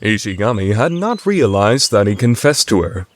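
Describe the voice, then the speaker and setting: A man's voice: lowish voice, sing-song, normal speed. A man voicing a wry narrator in an anime fandub radio play, reading scripted narration.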